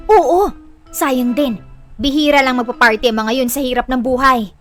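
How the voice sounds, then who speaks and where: Woman as high-voiced chatty Filipino auntie. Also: moderate pace, a bit bright. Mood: friendly, chatty. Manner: chatty, informal. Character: chatty, friendly female neighborhood auntie. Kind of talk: audio drama acting. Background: music.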